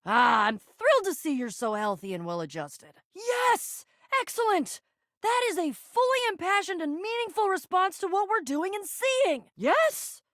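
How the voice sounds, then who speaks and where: A woman's voice: extremely high pitch, very animated, a bit bright, no room sound, studio quality, full character voice. A young American woman voicing a bossy, sarcastic, theatrical and easily flustered female adventurer in a video game. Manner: theatrical, exasperated, quick to swing between bravado and fluster.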